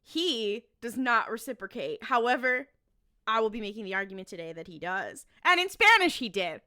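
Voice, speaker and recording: A woman's voice: a little high, lively intonation, moderate pace, sharp tone. A woman on a podcast panel chatting casually as a fan making a case, emphatic and repeating phrases for effect.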